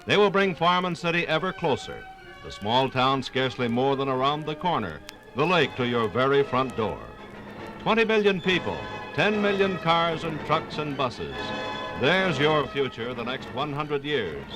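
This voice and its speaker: A man's voice: mid-range voice, expressive, moderate pace, over music, low-quality recording. A man delivering scripted 1950s radio documentary narration in a dramatic, oratorical way. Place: radio studio.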